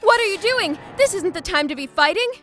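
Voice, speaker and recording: Woman voicing a high, animated young office worker. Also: brisk, faint music behind. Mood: panicked. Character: eager hot-blooded young female office worker, easily exasperated. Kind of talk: animated manga voice acting and narration.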